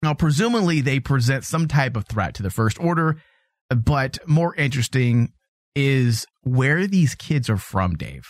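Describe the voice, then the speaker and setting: A man's voice: medium pitch, animated, unhurried, no room sound, clean studio sound. A man co-hosting a casual podcast, chatting animatedly and teasing his co-host, laughing easily.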